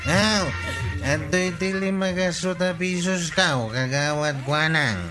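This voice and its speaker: An actor with an Ilocano accent playing an earnest, lovestruck village bachelor in a comedy radio drama. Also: male voice, fairly high pitch, slow. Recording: music bed behind the voice.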